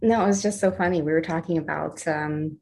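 Low-pitched chatty woman guest. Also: brisk, vocal fry, darkish tone. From Los Angeles area, USA. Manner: laughs often, chatty with restarts. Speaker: artist and guest. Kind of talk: casual interview conversation.

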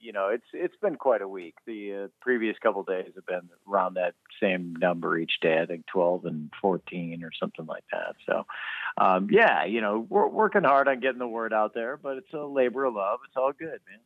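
Man from Chicago, guest musician, relaxed and upbeat, easygoing fillers, tinny phone line, dry sound. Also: on the low side, normal speed, no room sound. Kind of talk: casual phone interview. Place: on a phone call.

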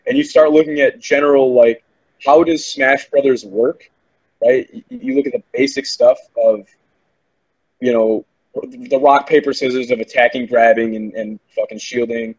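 A man's voice: mid-range voice, steady tone, moderate pace, a little mellow, slightly rough, muffled recording. A man who works as a game commentator, chatting on a podcast in a rambling, profane, self-assured way. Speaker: esports commentator. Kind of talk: casual podcast chat.

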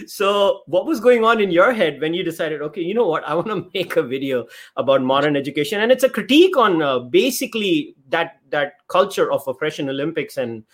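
A man's voice: fairly high pitch, lively intonation, a little fast. Indian-accented man hosting a podcast interview. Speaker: podcast host. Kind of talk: conversational interview.

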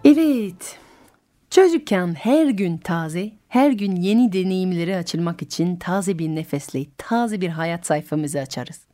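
A French woman with a French accent, hosting a radio show and telling a folk tale in an expressive, musical way. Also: lowish voice, unhurried, big-room sound, soft background music. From France. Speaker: radio host and storyteller. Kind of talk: storytelling for adults on radio.

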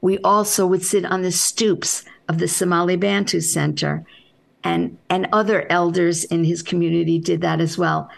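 A woman author with a soft voice, answering interview questions in an unhurried, reflective way with halting restarts. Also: on the low side, fairly even intonation, gravelly.

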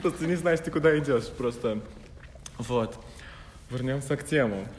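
A man with an Arabic accent chatting in an interview, talking quickly and warmly about his team, often laughing, with slightly broken grammar. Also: medium pitch, big-room sound, slight background noise. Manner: fast, animated, laughs often, imperfect grammar. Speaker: fashion photographer. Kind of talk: casual interview chat.

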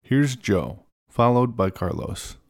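A man hosting a podcast, reading a scripted introduction in a measured way. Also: mid-range voice, lively intonation. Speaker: podcast host.

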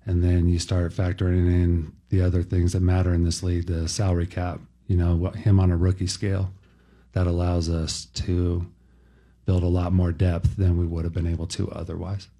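A man speaking as a team executive at a press conference, answering in careful, halting, measured phrases. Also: sits low, monotone, warm tone.

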